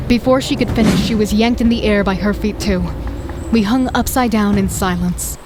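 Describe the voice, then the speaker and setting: A woman's voice: mid-range voice, normal speed, very noisy. A woman narrating a survival audio drama in the first person with a dry, sardonic and commanding manner. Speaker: narrator and lead character. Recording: background music.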